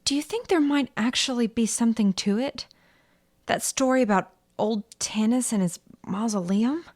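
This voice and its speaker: A woman's voice: a little high, normal speed, darkish tone, lightly acted. A woman playing a frightened, jittery wife in a radio horror drama, anxious and full of doubt. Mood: uneasy.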